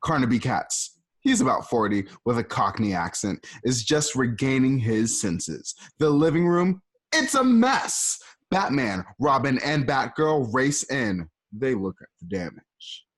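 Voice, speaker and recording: A man's voice: mid-range voice, very animated, measured pace. A man narrating stage directions for a home radio play, casual and offhand. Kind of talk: reading stage directions aloud.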